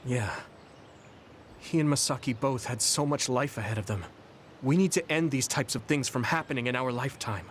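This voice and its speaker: An actor playing a polite, caring young soldier in a video game, speaking gently and earnestly. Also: male voice, medium pitch, normal speed, a little hiss or hum, natural voice. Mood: somber. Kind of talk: English video game voice acting. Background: soft background music.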